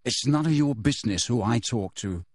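A man's voice: mid-range voice, lively intonation, moderate pace, darkish tone, dry recording. A man voicing a defiant, desperate teenage boy wizard who snarls and pleads urgently, in a dry close recording.

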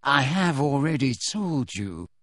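A man voicing a cold, indifferent male professor who speaks slowly, smoothly and sardonically, in a dry close recording. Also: a little high, big pitch swings, no room sound, full character voice. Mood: cold sardonic. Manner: slow, smooth, cool, sardonic.

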